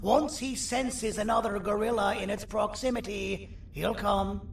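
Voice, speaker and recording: An actor playing a vain, sardonic four-armed creature surgeon in a video game, with a gravelly, theatrical, bossy delivery. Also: male voice, high voice, fairly even intonation, measured pace. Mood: proud, explaining. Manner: wry, theatrical, self-important. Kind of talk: video game character dialogue. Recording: roomy echo, distant, faint noise.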